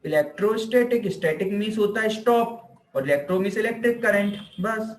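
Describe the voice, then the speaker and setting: A man's voice: high-pitched, lively intonation, a little fast. A man with an Indian accent giving an informal explanatory lecture as a teacher, repeating points and answering his own questions. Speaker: physiotherapy teacher. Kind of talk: informal explanatory classroom lecture, Hindi mixed with English terms. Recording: echoey room.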